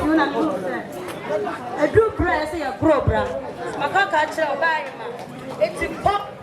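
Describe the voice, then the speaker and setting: An actress playing an excitable, loud woman in a school stage play. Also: high voice. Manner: exclamatory, rapid and animated. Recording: echoey hall with a noisy audience.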